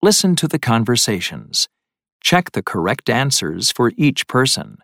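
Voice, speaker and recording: A man reading the instructions of a textbook listening lesson in slow, deliberate announcing. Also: mid-range voice, very animated, vocal fry, fairly bright tone, no echo, studio quality. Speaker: lesson narrator. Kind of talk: scripted instructions.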